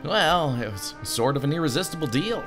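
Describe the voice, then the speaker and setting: Guy doing an earnest young stowaway lad, bit cheeky, lively pitch, video game. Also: brisk, over music.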